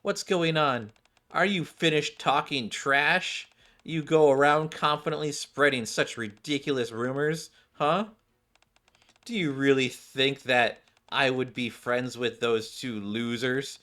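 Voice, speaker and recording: A man voicing a surly, sharp-tongued schoolgirl from a game, curt and dismissive. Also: medium pitch, slow. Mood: scornful, angry.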